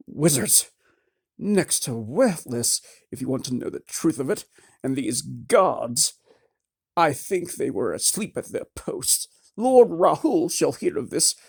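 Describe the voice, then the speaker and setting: A narrator voicing a shrill, demanding, self-important noblewoman who screeches her complaints. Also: very animated, takes it slowly, vocal fry, a little mellow, husky, heavily acted. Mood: shrill imperious. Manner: screeching, imperious.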